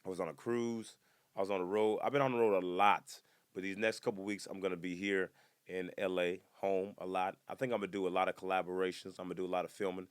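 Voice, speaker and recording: A man in his twenties doing a casual comedic podcast monologue, animated and breaking into loud high-pitched bursts when he acts out other people. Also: fast talker, clear, resonant tone, no echo, clean studio sound. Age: 20s.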